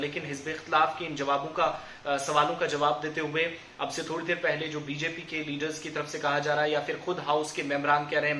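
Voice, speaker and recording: Guy reading out news, sharp bright voice, pretty even tone, echoey room w a bit of noise. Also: on the high side. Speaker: news presenter. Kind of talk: news reporting, semi-improvised explainer.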